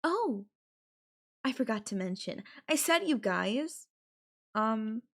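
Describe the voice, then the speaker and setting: A woman voice actor playing an obsessive, possessive girlfriend in an intimate audio roleplay, with a coy, casual, drawn-out way of talking. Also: a little high, expressive, heavily acted. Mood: coaxing, casual. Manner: coy, drawn-out, casual filler-laden phrasing with giggles and sighs. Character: obsessive, possessive yandere girlfriend, playfully menacing kidnapper.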